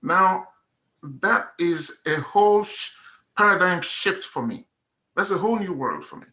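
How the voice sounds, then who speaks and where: Bright-voiced man giving testimony. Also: sits high, expressive, measured pace. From Nigeria. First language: Igbo. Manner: earnest, emphatic, repeats phrases for effect. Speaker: testimonial speaker. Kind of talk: personal testimony at a meetup.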